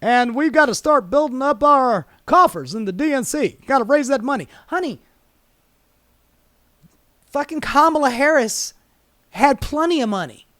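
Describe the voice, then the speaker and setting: A man's voice: extremely high pitch, lively intonation, normal speed, long pauses, bright, forceful tone, dry recording, clean studio sound. An American man giving casual, opinionated news commentary in a sardonic, exasperated, sweary manner. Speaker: political commentator.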